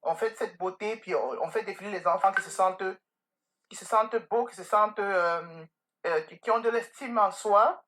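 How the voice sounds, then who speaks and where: Podcast guest: woman, middle-aged, mid-pitched voice, earnest, emphatic, conversational, speaks straight to the listener. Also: normal speed, fairly bright tone. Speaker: podcast guest, beauty salon owner. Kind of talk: conversational interview answers with anecdotes.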